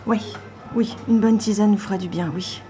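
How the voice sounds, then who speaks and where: A woman's voice: mid-range voice, steady tone, normal speed, mellow, faint music behind, natural voice. A woman playing a soothing, emotionally moved mother in a French audio drama. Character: mother, moved and soothing, remembering her grandparents.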